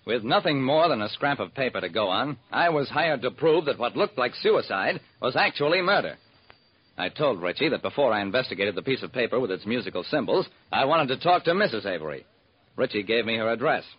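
A wry, hard-boiled male private eye, tells his case in clipped phrases. His husky voice moves in lively swings, on a muffled vintage radio recording. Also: medium pitch. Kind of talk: old-time radio detective narration.

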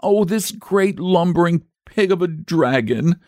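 A man's voice: animated, unhurried, a little mellow, no echo, studio quality. A man voicing a tired, tearful little boy in a storybook, pleading and piteous. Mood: sobbing, aggrieved. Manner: pleading, plaintive, high-pitched whine.

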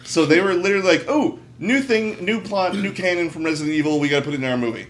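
A man's voice: sits high, lively intonation, fast, a little hiss or hum. A man chatting casually as a podcast co-host, giving blunt, jokey, profane opinions in an emphatic way.

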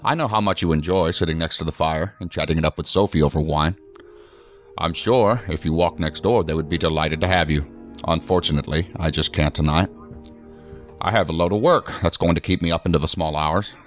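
A weary male assistant director in a science fiction audio drama. His voice is very low, with a dry, wry, measured and articulate manner. Faint music sits behind him. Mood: affectionate, tired.

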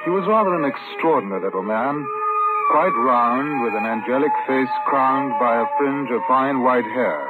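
An actor playing a composed British chief inspector in a radio drama, courteous, formal and measured. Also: male voice, medium pitch, animated, lightly acted. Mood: reflective. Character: composed, polite British police chief inspector, narrating his case. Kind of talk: old-time radio drama acting and narration. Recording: dull vintage radio recording, loud music.

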